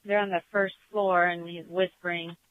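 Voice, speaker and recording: A woman's voice: lowish voice, flat delivery, moderate pace, no room sound. A woman working as a police dispatcher, relaying calls over a police radio. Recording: narrow, tinny police radio transmission.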